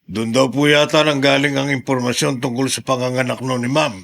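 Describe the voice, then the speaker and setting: An actor playing a deferential, level-headed aide to a mayor in a Filipino radio drama, speaking respectfully and cautiously. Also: male voice, mid-range voice, steady tone, a little fast, creaky, a bit bright. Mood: respectful, informing.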